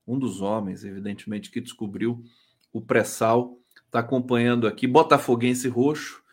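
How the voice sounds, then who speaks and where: Casual Brazilian male show host, medium pitch. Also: steady tone, normal speed, slightly rough. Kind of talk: live chat show hosting, casual.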